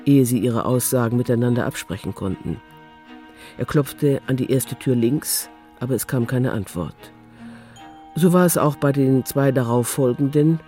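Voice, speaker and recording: Guy narrating a radio crime play, dark mellow voice, middle pitch, sober, bit of music behind. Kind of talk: scripted audio drama narration. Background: faint music.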